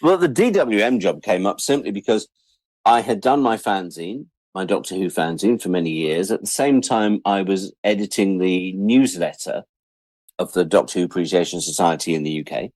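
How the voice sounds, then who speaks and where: Low-voiced English man in his sixties. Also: moderate pace, dry recording. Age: 60s. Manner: animated storyteller, self-deprecating, acts out quoted remarks. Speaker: interview guest, writer and editor. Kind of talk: casual anecdotal interview talk.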